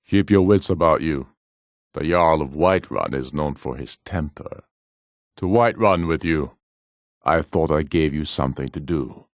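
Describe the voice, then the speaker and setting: An actor playing a proud, commanding middle-aged rebel jarl in a fantasy video game, speaking in solemn, imperious, measured oratory. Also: male voice, extremely low pitch, no echo, studio quality. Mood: grave, resolute.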